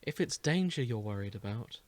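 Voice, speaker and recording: A man's voice: medium pitch, animated, normal speed, no room sound, lightly acted. Casting: male reader as composed ancient sage spirit, practical, dryly reassuring, close dry recording. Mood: calm, dry. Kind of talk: dramatic fan fiction reading.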